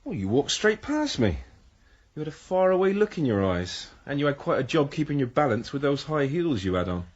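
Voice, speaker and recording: An actor playing a friendly male village pal in a radio drama, chatty, tactful and gently teasing. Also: fairly high pitch, sing-song, brisk, muffled recording. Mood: teasing, cautioning.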